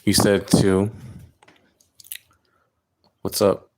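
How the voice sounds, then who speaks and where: Brief: man from California, low-ish voice, relaxed livestream reader, chatty and full of fillers, reacting to chat as it comes. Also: very slow, background noise. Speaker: psychic reader hosting a livestream. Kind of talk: casual livestream chat answering viewer comments.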